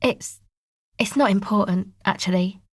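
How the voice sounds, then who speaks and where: A woman's voice: mid-range voice, unhurried, husky, dry recording. An actress playing a guarded, laid-back young woman who leads a streetwise crew in a video game, speaking casually with dry understatement. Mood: awkward, grateful. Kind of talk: video game dialogue acting.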